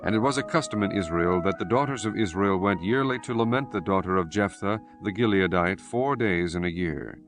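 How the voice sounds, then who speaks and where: A man narrating a dramatized scripture audiobook in a solemn, measured reading style. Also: low voice. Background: music.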